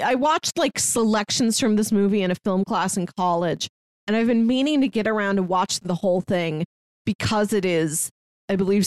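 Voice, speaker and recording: A woman's voice: medium pitch, no echo. A woman co-hosting a podcast, chatting casually about movies in articulate, wordy phrases. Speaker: podcast co-host. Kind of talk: casual movie review chat.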